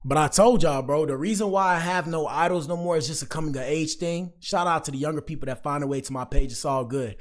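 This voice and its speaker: A man's voice: fairly high pitch, fast, few pauses. An American man doing casual, slangy livestream commentary, speaking directly to his viewers as he reacts to clips.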